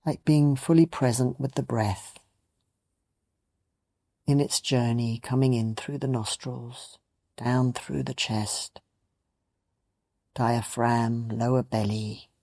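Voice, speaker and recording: Meditation guide, very slow pace, soft mellow tone, gentle, soothing, long pauses. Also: medium pitch, fairly even intonation, vocal fry. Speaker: meditation teacher. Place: meditation retreat.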